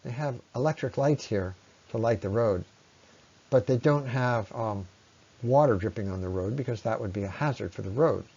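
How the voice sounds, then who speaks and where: A man presenting an explanatory slide talk in a conversational, unscripted way, with halting phrasing and frequent pauses. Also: mid-range voice, mellow, husky, dull audio. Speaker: presenter, ecology lecturer.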